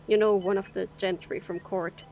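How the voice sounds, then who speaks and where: A woman's voice: medium pitch, fairly even intonation, moderate pace, darkish tone, muffled recording, close to an everyday voice. A woman playing a frank, rueful cargo captain in an audio drama, talking in a matter-of-fact way.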